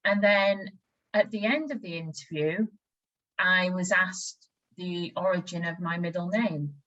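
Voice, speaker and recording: A woman with a Yorkshire accent speaks frankly about her own experience. Her voice sits a little low, and her delivery is plain and conversational, like an interviewer trading stories. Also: unhurried, fairly bright tone, dull audio. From West Yorkshire, England. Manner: frank personal storytelling.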